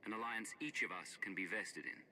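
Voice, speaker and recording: Sci-fi game lead: resolute male commander, lowish voice, rallying allies over audible rumbling ambience. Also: brisk, sharp tone, slight background noise, low-quality recording. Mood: solemn, rousing. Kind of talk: video game cutscene acting.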